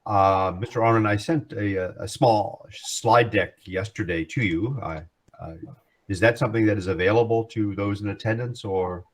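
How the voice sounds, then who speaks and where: An American man in his sixties giving a formal law lecture, measured and hesitant with frequent fillers. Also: lowish voice. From United States. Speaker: guest lecturer, law professor.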